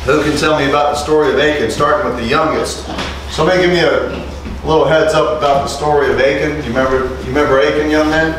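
A middle-aged man gives an animated teaching sermon, firing questions at his listeners and drawing amens back. His voice sits fairly high. The sound is very roomy and slightly distant, with steady noise underneath. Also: fast, few pauses. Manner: animated, calls for amens, asks the room questions. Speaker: preacher teaching street preachers. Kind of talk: lively interactive sermon. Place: small indoor meeting room. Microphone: lapel mic.

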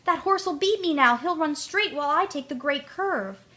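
Woman reading a young train, eager, excited, high and bright. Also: moderate pace. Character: eager young male locomotive who loves speed. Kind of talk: storybook reading.

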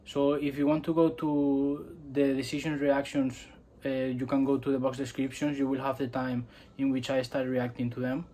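A young man from Spain speaks casually to his camera in a small indoor room. His delivery is flat and even, at an ordinary middle pitch, and he hesitates often, restarting phrases and filling gaps. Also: moderate pace, slight background noise. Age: 20s. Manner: hesitant, many fillers and restarts. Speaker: vlogger.

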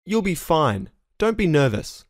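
Man reading lessons with lively intonation. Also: a little high, dry recording, studio quality. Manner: clear, deliberate, each phrase repeated three times. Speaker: dialogue reader for English learners. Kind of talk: slow scripted phrase repetition.

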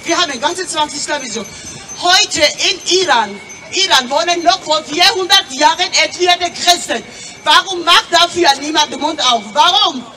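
A woman in her thirties with a Georgian accent, giving an impromptu, emotional rally speech in a nervous, agitated, halting way. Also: very high-pitched, pushed and bright, distant mic. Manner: impassioned, agitated, halting delivery. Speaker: audience member speaking at a rally. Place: open city square at a street rally. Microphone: handheld microphone, filmed by a camera nearby. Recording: outdoor public-address microphone from afar, noisy crowd.

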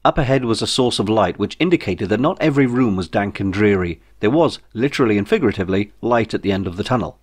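A man with a fairly low voice narrates a comic science fiction novel. His delivery is wry and dry, as an author reading his own book. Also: lively intonation, quick pace, few pauses.

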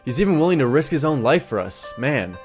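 A man's voice: mid-range voice, sing-song, brisk, soft background music. A man narrating a commentary video in a sarcastic tone.

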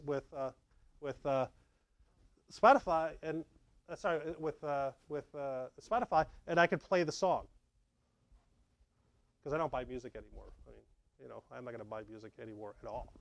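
Lively middle-aged man presenting. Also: a little high, normal speed, creaky, long pauses, dry recording. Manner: lively, conversational, acts out examples. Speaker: tech presenter. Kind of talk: meetup slide presentation. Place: dark meeting room with projector.

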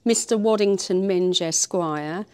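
A woman's voice: lowish voice, a little slow, smooth. A woman with a British accent chatting casually and playfully from behind the camera as co-host of a vlog. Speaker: co-host interviewing and filming.

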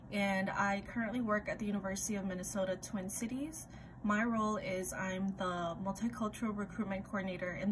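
A young woman from Ecuador in her twenties, an admissions recruitment coordinator, giving a friendly, explanatory self-introduction on a webcam. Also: mid-range voice. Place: home room with ivy wall. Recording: video call with audible background noise.

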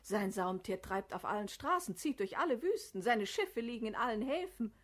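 A scheming, assured noblewoman in a classic radio play. Her voice is on the high side and swings expressively through eloquent, declamatory phrases. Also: very animated, moderate pace. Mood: admiring. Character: shrewd, scheming, assured noble sister of a sultan.

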